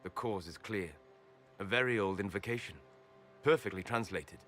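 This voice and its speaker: A man's voice: fairly low pitch, moderate pace, fairly bright tone, soft background music, natural voice. A man playing a cultured, learned figure in a video game, with precise, dramatic delivery. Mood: appraising. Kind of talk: video game cutscene acting.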